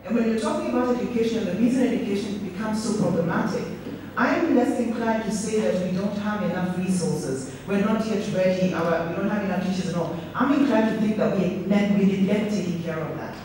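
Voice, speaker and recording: A woman's voice: medium pitch, fairly quick, mellow. A middle-aged woman from Kenya giving a public speech, earnest and reflective, often restarting her words. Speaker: speaker. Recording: echoey hall, speaker far from mic, faint noise.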